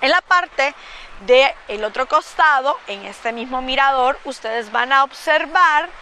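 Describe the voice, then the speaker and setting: Bright, high-voiced Salvadoran woman vlogger. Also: expressive, slow. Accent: Salvadoran Spanish. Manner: enthusiastic, chatty, addresses viewers directly. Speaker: travel vlogger. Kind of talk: casual travel vlog narration. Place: outdoors at a windy hilltop lookout restaurant. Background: wind and outdoor ambience.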